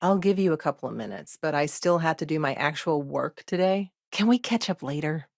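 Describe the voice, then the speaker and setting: Game role: pragmatic female lawyer on a mining colony. Lowish voice, businesslike and frank, dry close studio sound. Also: expressive, fairly quick, gravelly, no room sound. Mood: brisk, firm. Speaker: video game character, lawyer. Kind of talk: conversational video game dialogue acting.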